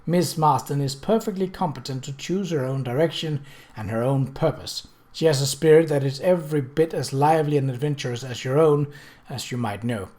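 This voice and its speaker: A reader playing a composed, gently firm lady. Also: mid-range voice, close to an everyday voice. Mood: sweetly assured. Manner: measured, formal, gently admonishing.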